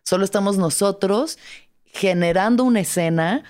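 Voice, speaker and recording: A woman's voice: medium pitch, moderate pace. A woman from Mexico, a stand-up comedian and actress, telling her story in a casual conversation. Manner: lively storyteller, acts out her own past words.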